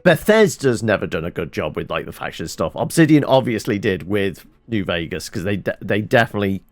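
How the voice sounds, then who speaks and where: A man's voice: lowish voice, expressive, fairly quick, no echo. A man giving casual let's-play commentary, muttering his thoughts aloud as he plays and swearing now and then. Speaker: gaming channel host.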